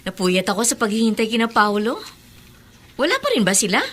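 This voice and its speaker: A woman's voice: a little high, sing-song, fairly quick, a bit bright. A woman playing an anxious woman waiting at home for her loved ones in a radio drama, with a Filipino accent. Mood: worried, brisk.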